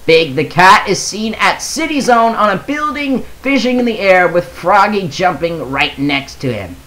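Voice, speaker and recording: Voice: medium pitch, animated, a little slow, a bit bright. A video game YouTuber reading out news and reacting casually, rambling and hesitant at times, then emphatic.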